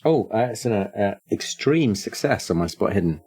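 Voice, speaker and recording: Brit player, very deep voice, plain casual delivery. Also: male voice, lively intonation, normal speed, mellow, husky. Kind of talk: casual tabletop play.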